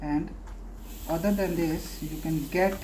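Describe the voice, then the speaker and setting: A woman hosting a casual product unboxing, talking haltingly in short phrases with pauses. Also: sits low, steady tone, warm tone, slight background noise, poor audio. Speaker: unboxing video host.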